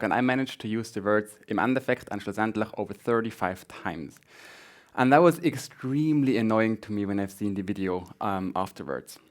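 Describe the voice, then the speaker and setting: A man with a Swiss German accent, a native Swiss German speaker, gives an informal conference tech talk in a slightly halting way with repeated words. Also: on the low side. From Switzerland. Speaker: conference speaker, front-end developer.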